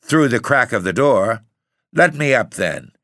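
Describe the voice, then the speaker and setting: A man voicing a sharp-tongued, bedridden old aunt who speaks with open contempt. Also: mid-range voice, big pitch swings, measured pace, no echo, studio quality, pitched above the performer's own voice. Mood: scornful. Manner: contemptuous, commanding.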